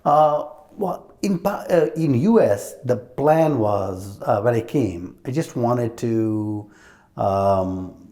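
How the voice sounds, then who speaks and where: A man's voice: mid-range voice, expressive, slow pace, dark tone. A middle-aged man from Pakistan, an interview guest telling his story candidly, hesitant with many fillers. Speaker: restaurant franchise owner, guest.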